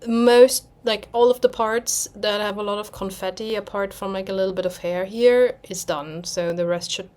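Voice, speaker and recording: A young German woman speaking English as a second language, chatting in a loose, rambling way. She pauses now and then to hunt for a word and laughs at herself. Her voice sits at an ordinary middle pitch. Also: steady tone, a little mellow. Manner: rambling, self-deprecating, searches for words. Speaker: cross-stitch vlogger. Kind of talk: casual chat.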